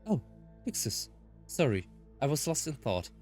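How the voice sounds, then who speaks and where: A man's voice: medium pitch, sing-song, measured pace, a little mellow, soft background music. A man voicing a serious, composed young woman in a visual novel. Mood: distracted.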